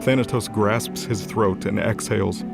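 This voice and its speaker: Male narrator for mythology audio drama, lowish voice, scripted dramatic read over clear music. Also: fairly even intonation, measured pace. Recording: music under the voice.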